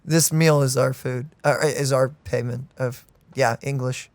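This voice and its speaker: A man's voice: medium pitch, steady tone, unhurried, no room sound. A young man giving casual, jokey live commentary as he reacts to a video game. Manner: jokey, chatty. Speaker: gaming reaction streamer.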